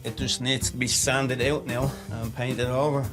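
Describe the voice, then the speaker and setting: A man speaks in a thick inner-city Dublin accent at a medium pitch, without big swings in tone. He talks informally about his own life, with faint music behind him. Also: flat delivery, normal speed. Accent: thick inner-city Dublin Irish. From Dublin, Ireland. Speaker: client of a homelessness charity. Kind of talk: informal personal testimony to camera.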